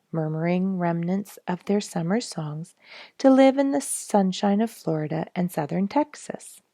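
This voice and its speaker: A woman narrating a children's story aloud in a measured, deliberate way with dramatic emphasis. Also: fairly low pitch, vocal fry, darkish tone, no echo, clean studio sound. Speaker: children's story reader, regular of the show.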